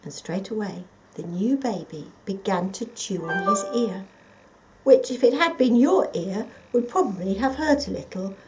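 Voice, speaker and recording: A woman reading a children's story expressively and dramatically, often dropping to a hush. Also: medium pitch, lively intonation, normal speed, slight background noise, rough recording. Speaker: volunteer storyteller.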